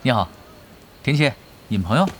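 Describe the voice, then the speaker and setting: Actor as a quick, terse, unbothered expert gamer guy. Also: medium pitch, lively intonation, a little hiss or hum, natural voice. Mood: casual. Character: laid-back, matter-of-fact male expert gamer. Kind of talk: audio drama acting.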